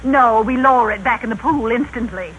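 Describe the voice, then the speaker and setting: A woman's voice: a little high, brisk, highs cut off, natural voice. A woman acting a prim, haughty, stubbornly loyal Californian miss in a 1930s radio comedy. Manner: haughty, airy denials. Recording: noisy old 1930s radio recording.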